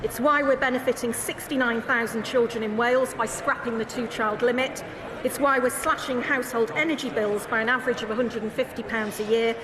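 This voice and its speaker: A woman speaks with confidence in a fairly high voice, running briskly through figures in a formal answer. The chamber is large and echoey, with a crowd murmuring behind her. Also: fairly even intonation. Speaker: secretary of state. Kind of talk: parliamentary answer.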